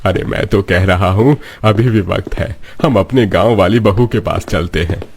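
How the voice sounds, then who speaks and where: A man's voice: lowish voice, normal speed, vocal fry, slight background noise. A man playing an elderly, plain-spoken and opinionated father-in-law in a cartoon village story. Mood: persuading. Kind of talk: cartoon moral story dialogue.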